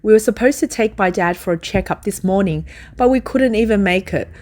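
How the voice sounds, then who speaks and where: A woman's voice: mid-range voice, a little fast, a little mellow. A woman reading translated first-person testimony as a scripted voice-over.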